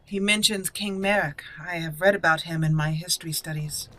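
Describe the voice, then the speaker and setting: A woman's voice: lowish voice, normal speed, fairly bright tone, rough recording. A woman narrating and roleplaying her way through a fantasy video game as a channel host. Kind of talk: roleplay game playthrough commentary. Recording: clear background noise. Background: game ambience.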